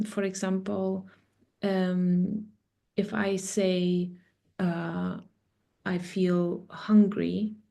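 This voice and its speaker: Slow, gravelly-voiced woman facilitator. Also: medium pitch. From Russia. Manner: slow and soft, many pauses and ums. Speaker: circle facilitator. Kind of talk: guiding an online sharing circle. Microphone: video call.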